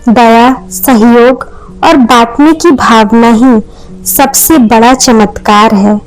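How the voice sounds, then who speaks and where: A woman's voice: a little high, slow, faint music behind, poor audio. A woman narrating a scripted moral story as a storyteller.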